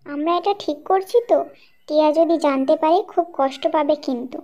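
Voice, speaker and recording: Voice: sits high, brisk, warm tone, dull audio, close to an everyday voice. A performer with a childlike voice playing a small, earnest bird in a children's cartoon story. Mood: worried. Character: small cartoon birds, cautious, earnest, easily indignant.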